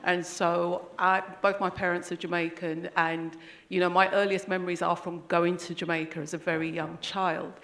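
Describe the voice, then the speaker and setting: A woman takes the audience microphone with a lowish voice. She shares her thoughts in a warm, reflective way before putting her question. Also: little pitch movement, moderate pace. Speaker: audience member asking a question. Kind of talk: conference floor question. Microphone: handheld microphone.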